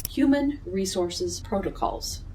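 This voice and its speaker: A woman's voice: mid-range voice, animated, takes it slowly, darkish tone, recorded from a distance, slight background noise, rough recording. A woman playing an officious, literal-minded robot in an audio drama, speaking in formal, clipped, stilted phrases. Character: officious literal-minded female robot, glitchy and rule-bound.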